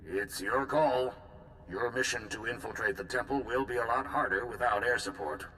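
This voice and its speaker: Game cast: man as a blunt military commander, bright, forceful tone, brisk orders. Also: medium pitch, slight background noise, natural voice. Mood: commanding. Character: male military supreme commander, decisive and blunt. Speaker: supreme commander. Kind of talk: game voice acting.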